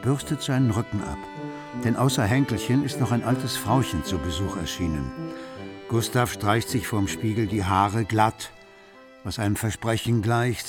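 An actor playing a wry literary narrator, telling the story in measured, scripted radio play style. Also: male voice, lowish voice, natural voice. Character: literary narrator, wry observer of bourgeois households.

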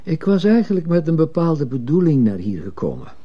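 A man playing an earnest, morally insistent missionary priest in a radio play, speaking solemnly and deliberately. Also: on the high side, sing-song. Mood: persuasive. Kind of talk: earnest Dutch-language radio play acting. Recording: muffled, dull old radio play recording.

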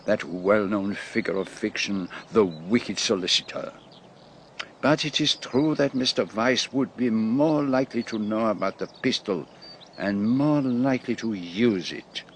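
An actor playing a shrewd, self-assured Belgian detective in a radio drama, with a French-speaking Belgian accent and a deliberate, theatrical manner. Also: male voice, on the low side, slight background noise. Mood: musing, teasing. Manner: deliberate, theatrical, peppers speech with French phrases.

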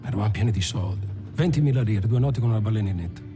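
Actor as vain low-voiced gangster playboy. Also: male voice, expressive, racing, lightly acted. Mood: smug, amused. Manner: self-satisfied, anecdotal. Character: vain, high-living Italian gangster playboy reminiscing. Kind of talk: dramatic monologue with acted little dialogues. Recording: loud music under the voice.

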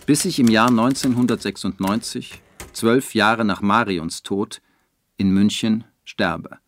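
A melancholy writer, acted by a man in a radio play, low in pitch and measured in delivery. He sounds brooding and self-doubting, over faint-to-clear background noise. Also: lightly acted. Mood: resigned. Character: brooding, self-doubting male novelist looking back on his failures. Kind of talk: German radio drama monologue and dialogue.

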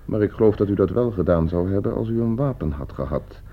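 A low-voiced schoolteacher who is controlled, courteous and quietly manipulative. He speaks as a radio drama villain would, on a muffled old recording. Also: lively intonation, fast talker. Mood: calm, menacing. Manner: controlled, polite, insinuating. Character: calm, calculating male schoolteacher, polite but menacing manipulator. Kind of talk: Dutch radio play acting.

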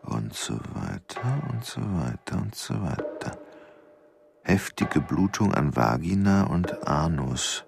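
A man's voice: low voice, big pitch swings, slow pace, gravelly, noisy background, heavily acted. An actor playing a morbid, brooding man as a killer's intimate inner voice in a radio drama. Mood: morbid, weary. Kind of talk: radio drama interior monologue.

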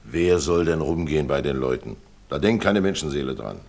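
An actor playing a brisk, plain-spoken visitor who brushes worries away in a folksy manner in a radio play. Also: male voice, very deep voice, lively intonation, creaky, a little mellow, full character voice. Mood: dismissive, jovial. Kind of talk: German radio play acting.

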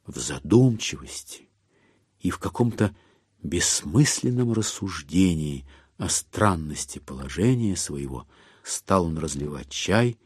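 An actor playing a wry, ironic narrator, reading literary prose in a measured way for an audio drama. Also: male voice, low voice, slightly rough, no echo, clean studio sound, exaggerated voice.

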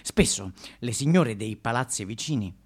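A man's voice: mid-range voice, expressive, moderate pace, slightly rough. A man acting a folksy, chatty bar owner in a conversational stage monologue, addressing his listeners with rhetorical questions and asides. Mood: amiable, storytelling. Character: folksy, chatty male bar owner bringing coffee to protesters. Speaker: stage actor performing a monologue. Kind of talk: theatrical monologue in conversational storytelling.

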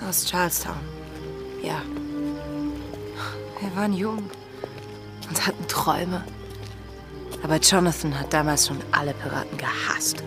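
Low-voiced, haunted pirate woman. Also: unhurried, long pauses. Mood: brooding. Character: Anne Bonny, wanted female pirate, haunted, resolute lover. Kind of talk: German radio drama acting. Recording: studio radio play with prominent music.

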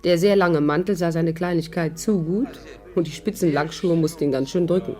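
Woman acting shrewd young female narrator, dark soft tone, composed and wry, 1950s German radio drama. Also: fairly low pitch, a little fast, faint music behind, lightly acted. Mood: wry, watchful.

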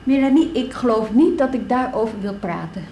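A woman's voice: fairly high pitch, lively intonation, moderate pace, mellow, roomy sound, slight background noise. An actress playing a weary, guarded but kindly adult woman in a radio drama, speaking curtly and firmly. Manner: curt, firm, matter-of-fact. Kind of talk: radio drama acting, naturalistic dialogue.

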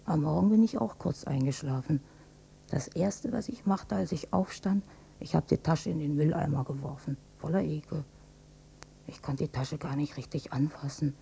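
A woman's voice: low-pitched, moderate pace, creaky, warm tone, a little hiss or hum. An actress playing a weary, troubled German mother recalling her wartime marriage in an intimate, plain-spoken radio drama monologue. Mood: bitter, disgusted.

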